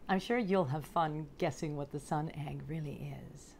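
A woman in her forties presenting and reading to children in a deliberate, expressive, carefully enunciated way. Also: sits low, gravelly, a little hiss or hum. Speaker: storytelling presenter. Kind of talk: scripted narration for children, picture-book reading. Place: indoors in front of a curtain backdrop.

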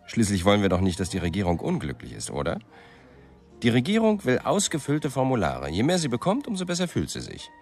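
Radio drama lead: a brooding male writer, low voice, expressive swings, music underneath. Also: brisk. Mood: animated storytelling. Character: brooding male New York writer, self-doubting storyteller. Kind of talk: German radio play acting.

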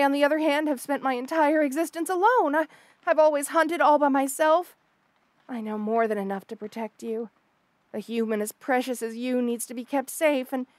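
A woman's voice: high voice, no echo, natural voice. A woman voicing an obsessive, jealous lamia monster girl in an intimate audio roleplay, flustered, boastful and gushing, with stammers.

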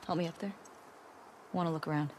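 A woman's voice: low-pitched, fairly even intonation, a little fast, background noise, close to an everyday voice. A young American woman playing a confident, teasing survivor in a video game, talking casually and directly. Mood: alert. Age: young adult. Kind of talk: naturalistic video game acting. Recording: music behind game dialogue.

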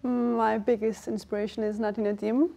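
A young woman from Denmark, a freestyle footballer, speaking softly and thoughtfully as a panel guest. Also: fairly high pitch, little pitch movement, fairly quick, vocal fry. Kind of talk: casual chat. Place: bright studio, indoors.

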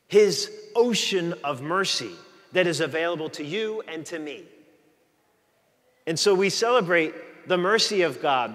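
Middle-aged male priest, fairly high voice, relaxed joking sermon delivery, long church reverb. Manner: chatty, joking with the congregation. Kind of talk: conversational sermon. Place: large church, walking the aisle before the altar.

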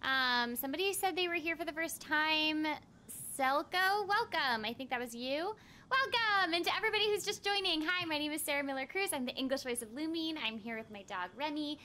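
High-voiced, playful young woman streamer. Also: expressive, brisk, sharp tone. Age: young adult. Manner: playful, giggly, exclamatory. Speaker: game streamer and voice actress. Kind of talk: casual livestream chat. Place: indoor room at home. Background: video game music.

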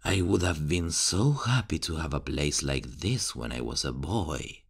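A menacing, hushed serial killer, voiced by a man, for an ASMR horror roleplay. His voice is very deep with a gravelly, husky edge, and he taunts slowly while the pitch slides up and down. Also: expressive, full character voice. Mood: menacingly soft. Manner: taunting, slow, calls the listener dear. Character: creepy soft-spoken male serial killer.

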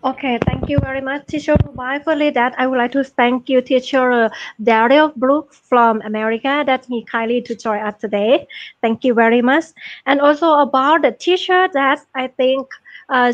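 High-pitched woman giving a score, sounds dull and dry, polite and hesitant. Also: steady tone, moderate pace, no echo. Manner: polite, nonnative English grammar, hesitant. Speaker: judge. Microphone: video call.

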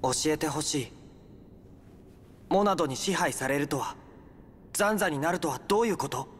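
A man playing a gentle, resolute teenage boy hero in an anime-style game cutscene, sincere and firm. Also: a little high, lively intonation, normal speed, big-room sound, slight background noise, natural voice. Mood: earnest, gentle.

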